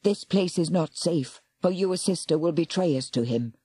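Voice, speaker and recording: A woman's voice: lowish voice, expressive, normal speed, mellow, slightly rough, no echo. A woman doing a grave old-fashioned creature woman. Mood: urgent. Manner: solemn, stilted formal speech. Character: small ancient fisher-folk creature woman, grave and formal.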